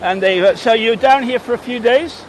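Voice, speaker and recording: A man from Wales with a Welsh accent, chatting excitedly to his livestream viewers while commenting on a race at a surf beach. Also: very high voice, moderate pace, a crowd in the background, rough recording. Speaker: livestream host. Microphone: phone mic held in hand.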